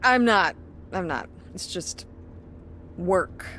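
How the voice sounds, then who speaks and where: A woman's voice: on the low side, animated, slow pace, fairly bright tone. A woman playing a weary, exasperated middle-aged mother in a video game, speaking naturalistically and defensively, with some hesitation. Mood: bitter, weary. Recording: clear background ambience noise.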